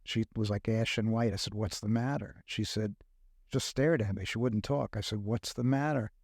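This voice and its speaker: Halting older Brooklyn man, dry studio. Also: on the low side, steady tone, darkish tone, no echo. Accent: Brooklyn New York. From Brooklyn, New York. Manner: reflective, halting with many fillers. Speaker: guest. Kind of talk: casual podcast conversation. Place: recording studio. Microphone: close studio mic.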